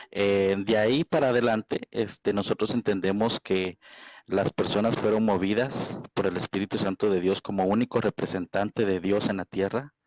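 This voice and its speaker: A man sets out his side in a religious debate. He speaks off the cuff and haltingly, with frequent checking questions. The sound is dry, with little room in it. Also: lowish voice, no echo, poor audio. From Guatemala. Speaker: evangelical debater. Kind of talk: extemporaneous religious debate introduction.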